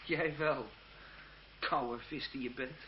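An actor playing an idealistic, earnest young French seminarist and reformer in a radio drama, speaking in a declamatory way. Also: male voice, mid-range voice, fairly even intonation, slow pace, natural voice. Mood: impassioned. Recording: muffled, hissy old radio drama recording.

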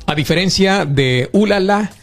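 A man hosting a conversational interview, courteous and measured, pausing often as he frames his questions. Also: sits high, soft background music. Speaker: radio or show interviewer.

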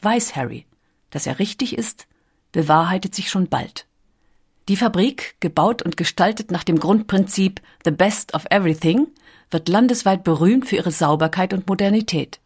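A woman's voice: mid-range voice, a little mellow, breathy edge. A woman reads scripted documentary narration as the narrator.

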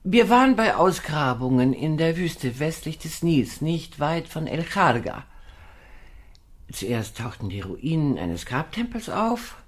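An actress playing an archaeologist's wife in a German radio drama, explaining in a deliberate, thoughtful way. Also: female voice, sits low, big pitch swings, full character voice. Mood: absorbed, recollecting.

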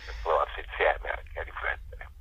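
A man speaking as a guest in a radio phone interview, explaining things in a halting, hesitant way. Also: very deep voice, completely flat delivery, pushed and bright, breathy edge. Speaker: guest musician presenting a concert. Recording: dull phone line, roomy echo.

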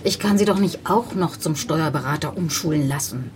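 An actress playing a weary, sceptical job-centre clerk in a radio drama, curt and bureaucratic. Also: female voice, low-pitched, normal speed, a crowd in the background, close to an everyday voice.

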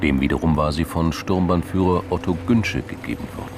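A man's voice: deep voice, brisk, noisy background. A man reading scripted narration for a radio drama, with vehicle sounds and ambience behind him.

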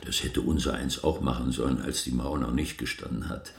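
An actor playing a learned, principled East German museum curator in a conversational radio drama, in a roomy interior. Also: male voice, extremely low pitch, fairly even intonation, fairly quick, gravelly. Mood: musing. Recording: roomy, reverberant interior sound.

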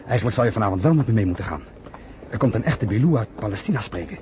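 An actor in a radio play voicing a lively, rebellious young brother, urgent and persuasive. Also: male voice, lowish voice, rapid-fire, natural voice. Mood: eager, coaxing. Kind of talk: radio drama acting. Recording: slightly noisy old radio recording.

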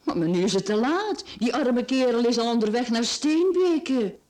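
A woman acting a flirtatious middle-aged Flemish mistress in a radio play. Her voice is fairly high and mellow, swinging widely in pitch. She coaxes and teases slyly, with a giggly streak. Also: animated, normal speed, close to an everyday voice. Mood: gleeful. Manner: teasing, coaxing, giggly.

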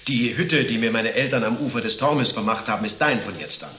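A man's voice: mid-range voice, a little fast, pushed and bright, close to an everyday voice. A man playing a lovesick, naive young man in a satirical radio play, pleading desperately and melodramatically. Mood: stunned despair. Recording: roomy, with faint hiss.

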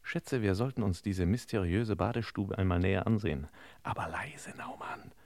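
Low-voiced pompous master sleuth. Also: male voice, moderate pace, vocal fry. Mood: conspiratorial, deadpan. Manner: mock-formal, deadpan, clipped quips. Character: suave, pompous, wisecracking master sleuth in charge. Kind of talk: vintage German radio crime comedy acting. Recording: reverberant old radio play recording.

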